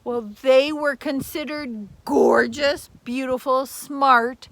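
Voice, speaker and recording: An older woman reading a children's story dramatically, with emphatic drawn-out words and chatty asides to her listeners. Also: sits high, steady tone, a bit bright, dry recording. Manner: theatrical, emphatic, drawn-out adjectives. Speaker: teacher reading stories to children.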